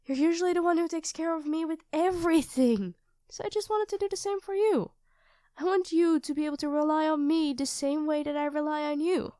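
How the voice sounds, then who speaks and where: Woman acting a high-voiced, flustered sweet girlfriend. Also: steady tone, a little fast, no echo, studio quality, close to an everyday voice. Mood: apologetic, earnest. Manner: hesitant, soft-spoken, earnest, addressing a partner directly. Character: sweet, flustered, self-doubting young girlfriend, eager to please. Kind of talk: ASMR girlfriend roleplay, intimate scripted monologue.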